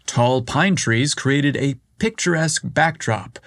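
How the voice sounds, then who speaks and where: A man reading a story aloud as narrator, in a measured, unhurried way. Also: mid-range voice, a bit bright, no echo, studio quality.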